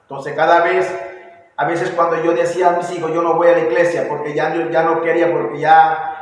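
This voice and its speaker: Panamanian man, 40s, earnest and halting; flat delivery, distant echoey room. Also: a little high. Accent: Panamanian Spanish. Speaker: church member giving testimony. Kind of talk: personal testimony. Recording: echoey hall, distant mic.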